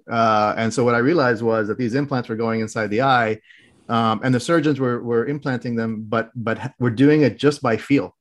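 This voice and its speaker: A man from the Middle East talking as a podcast guest about his engineering background, explaining in detail and restarting his phrases often. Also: mid-range voice. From grew up in the Middle East. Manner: explanatory, many restarts and fillers, precise technical wording. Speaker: guest, health tech director. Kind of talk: casual interview talk.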